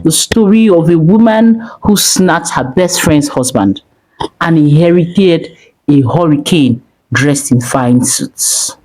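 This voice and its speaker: A woman narrating a dramatic folk tale, expressive and dramatic. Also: sits low, slow pace, rough recording. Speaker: storyteller.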